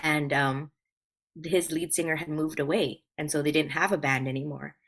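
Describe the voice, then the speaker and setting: A woman's voice: sits low, fairly even intonation, normal speed, a bit bright. An American woman in her thirties from Hawaii, telling stories casually as an interview guest in an informal, rambling, self-effacing way. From Hawaii, United States. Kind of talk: casual conversational storytelling.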